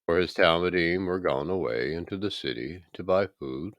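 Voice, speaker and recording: A man giving a solemn, scripted reading of scripture, with measured, emphatic and dramatic phrasing. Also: deep voice, a bit bright, no echo. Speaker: scripture reader.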